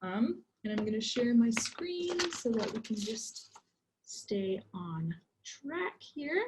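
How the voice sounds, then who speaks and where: A woman's voice: on the high side, very animated, slow pace, darkish tone, a little hiss or hum. A woman in her twenties who grew up in Egypt, leading an online workshop in a casual, chatty way with many ums and likes, on a computer mic. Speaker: workshop presenter.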